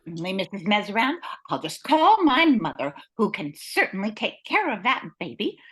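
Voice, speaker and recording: A woman's voice: medium pitch, big pitch swings, normal speed, fairly bright tone, slightly rough. A woman playing an obliging, eager-to-please office employee, speaking expressively in a radio comedy. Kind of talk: old-time radio comedy acting.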